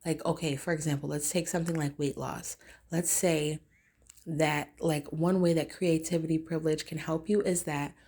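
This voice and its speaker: A woman vlogger with a raspy voice, talking in a loose, rambling casual monologue full of fillers. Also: low voice, fairly even intonation, moderate pace, darkish tone.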